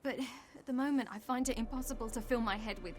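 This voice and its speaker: A woman's voice: a little high, fast talker, music in the background, lightly acted. An actress playing a stubborn, frustrated young woman with magic powers in a fantasy game, headstrong and outspoken. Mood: weary, wry. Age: young adult. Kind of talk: video game voice acting.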